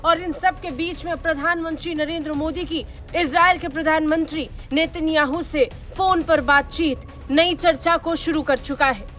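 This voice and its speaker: A woman's voice: high voice, steady tone, normal speed, fairly bright tone. A woman reading a scripted news report as a voice-over in a formal, dramatic delivery. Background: music under the voice.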